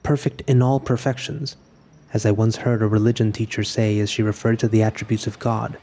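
A man acting a resigned, solitary narrator in scripted audio fiction, speaking in a measured, introspective way about his own final day. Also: lowish voice, little pitch movement, mellow. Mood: quiet resignation.

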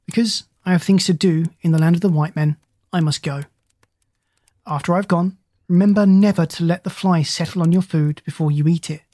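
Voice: mid-range voice, no room sound, close to an everyday voice. A reader doing a mild, murmuring kindly doctor. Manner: gentle, murmuring. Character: kind, mild-mannered animal doctor.

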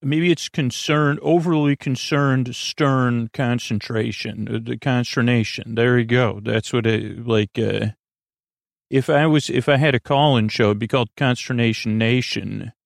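A man hosting a bedtime podcast in a rambling monologue, halting and stammering with frequent false starts and self-corrections, in a gravelly voice. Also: medium pitch, steady tone, no room sound, clean studio sound. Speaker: podcast host.

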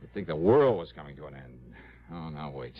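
An actor playing a harried, wry insurance investigator in a radio drama, stammering protests and muttering wearily. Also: male voice, a bass voice, lively intonation, fairly quick. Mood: exasperated. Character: harried, exasperated male insurance investigator, wry and soft-hearted. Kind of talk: 1960s radio drama acting. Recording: dull, hissy old radio broadcast.

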